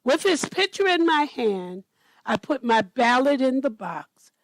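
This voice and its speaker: A woman storyteller reading a children's picture book aloud in a deliberate manner. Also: sits high, very animated, no echo, rough recording.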